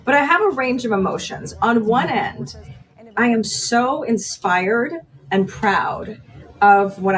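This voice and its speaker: A woman's voice: mid-range voice, recorded from a distance, slight background noise, poor audio. A woman in her thirties, born in Iran, speaking earnestly and with strong feeling as a podcast guest in a studio. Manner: earnest, emotional, gestures as she talks. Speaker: TV news anchor, guest. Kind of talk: candid podcast conversation. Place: podcast studio with decorated shelves. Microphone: large studio mic on boom.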